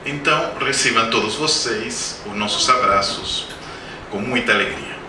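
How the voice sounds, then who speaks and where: A man's voice: fairly low pitch, measured pace. Man with a Spanish accent lecturing. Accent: Spanish-speaking accent in Portuguese. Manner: jokey anecdotes, asks the audience questions. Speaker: guest speaker at a spiritist center. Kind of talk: humorous spiritist lecture. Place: lecture hall. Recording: roomy hall, distant mic, background noise.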